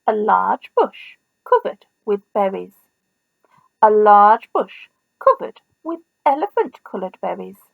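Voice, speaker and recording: A woman teacher reading a children's story expressively, in a deliberate manner with pauses. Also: mid-range voice, sing-song, creaky, dry recording, phone-quality sound. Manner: deliberate, pausing, asks listeners questions.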